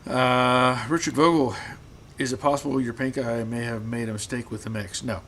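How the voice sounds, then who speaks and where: A man hosting a live stream from his garage, answering viewer comments in a relaxed, halting way, repeating words, his voice getting rough after long talking. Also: medium pitch, slight background noise. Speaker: host.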